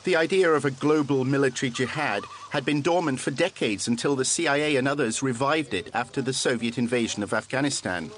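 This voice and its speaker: Measured male documentary narrator. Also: medium pitch, noisy background. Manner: measured, probing questions.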